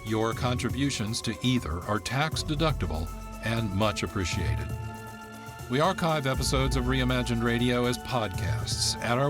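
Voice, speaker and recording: Male radio host with a mid-range voice, reading scripted, informative narration over music. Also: medium pitch. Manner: measured, informative, reads from a script. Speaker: producer and host.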